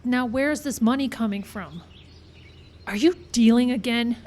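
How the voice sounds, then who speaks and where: Woman playing a skeptical, high-voiced actress. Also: a little slow, a little mellow, lightly acted. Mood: dry exasperation. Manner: dry, sarcastic, questioning. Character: skeptical, weary actress, dryly exasperated with her director. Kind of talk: live radio comedy acting. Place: live show in a park. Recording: live show with faint background noise.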